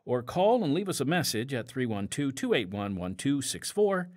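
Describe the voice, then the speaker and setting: A man's voice: mid-range voice, very animated, normal speed. A man hosting an audio drama podcast, reading announcements with casual, conversational asides. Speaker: podcast host.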